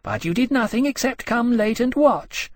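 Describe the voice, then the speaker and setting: A man's voice: sits high, a little fast, no echo, voice pushed up, lightly acted. A man voicing a young female fire dragon who is boastful and smug, with a condescending, dismissive manner, in an audiobook story.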